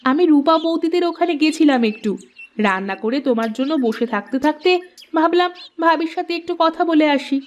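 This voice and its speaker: A woman's voice: high voice, expressive, a little fast. A woman voicing a wary, outspoken village housewife in a moral-story cartoon, pert and questioning. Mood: dismissive.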